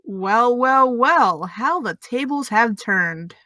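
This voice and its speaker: A woman's voice: fairly high pitch, animated, takes it slowly, sharp tone, no room sound, exaggerated voice. A woman playing a sarcastic teen mail reader. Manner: sarcastic, teasing. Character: snarky teen girl podcaster reading mail. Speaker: podcast co-host.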